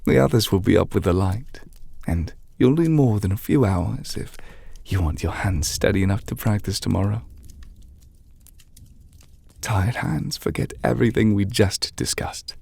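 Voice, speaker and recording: A very breathy, half-whispered male voice with a creaky edge, recorded close and dry. A man plays a gentle magic teacher in an ASMR roleplay. He speaks in measured, slightly formal phrases, patient and reassuring toward his apprentice. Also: fairly low pitch, no echo. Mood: quiet, wistful. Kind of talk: ASMR roleplay, softly spoken to the listener. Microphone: close mic, dry.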